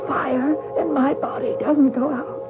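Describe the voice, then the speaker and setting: An actress playing an anguished, bitter young woman tennis champion in a halting, melodramatic radio drama style. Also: sits high, fairly even intonation. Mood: feverish, haunted. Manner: halting, melodramatic delivery with repetitions. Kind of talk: vintage radio drama acting. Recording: tinny lo-fi radio broadcast, music underneath.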